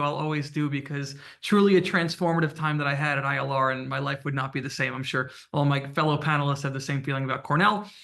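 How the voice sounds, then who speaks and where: Bright, upbeat young man on a panel. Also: fairly high pitch, vocal fry. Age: young adult. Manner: energetic, self-deprecating humor. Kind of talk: panel introduction. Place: campus event room.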